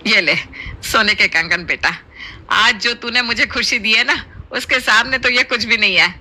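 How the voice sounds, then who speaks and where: A woman voicing a warm, doting middle-aged Indian mother-in-law who chides playfully and exclaims affectionately in a Hindi cartoon family story. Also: fairly high pitch, moderate pace. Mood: joyful, grateful.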